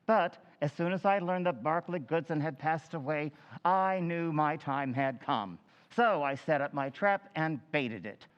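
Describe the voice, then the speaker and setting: A town chairman in a radio play, voiced by a man with a somewhat high voice, declaiming and then rattling off bids. The sound is dull and echoey, with a long hall reverb. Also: smooth, natural voice. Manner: declamatory, brisk auctioneer patter. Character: pompous small-town meeting chairman and auctioneer. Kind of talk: old-time radio drama recreation.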